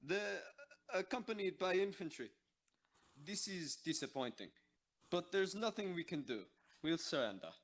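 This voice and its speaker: A man's voice: sits high, lively intonation, moderate pace. A man playing a young tank soldier in an amateur audio drama. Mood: grim, resigned.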